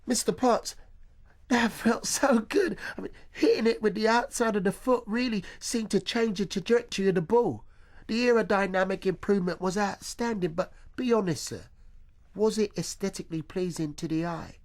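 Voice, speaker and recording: A man voicing an excitable, earnest eight-year-old schoolboy who gushes in oddly articulate phrases, in a comic radio story. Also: sits high, normal speed, pitched above the performer's own voice, natural voice. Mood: amazed, elated. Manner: gushing, incongruously articulate.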